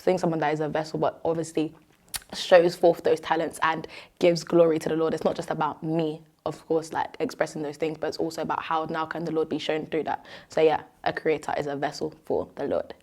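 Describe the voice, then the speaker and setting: A woman's voice: low-pitched, little pitch movement, a little fast, darkish tone. A young woman with a British accent, chatting casually and expressively as she answers questions as a host and content creator. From Nigerian-born, moved to Britain at three.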